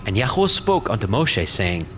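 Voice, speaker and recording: A man's voice: on the low side, big pitch swings, moderate pace, fairly bright tone, slight background noise. A man narrating scripture from a script in a solemn, formal storytelling manner. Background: prominent music.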